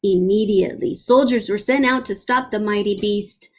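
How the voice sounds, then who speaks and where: A woman reading a children's picture book aloud like a teacher, dramatic and deliberate, with playful asides. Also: mid-range voice, slight background noise.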